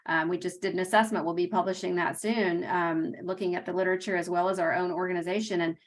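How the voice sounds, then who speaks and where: A woman's voice: on the low side, fairly even intonation, quick pace. A woman on a webinar panel, explaining health literacy work in a practical, explanatory way over a video call. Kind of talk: panel discussion.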